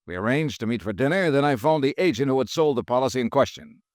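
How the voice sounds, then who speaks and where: Man doing a wry no-nonsense insurance detective telling his case, lively pitch, dry close mic. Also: dry recording, close to an everyday voice. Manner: brisk, wry, matter-of-fact. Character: wry, brisk freelance insurance investigator, adult man. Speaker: narrator and lead detective. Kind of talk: 1950s radio drama acting and narration. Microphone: close studio mic, dry.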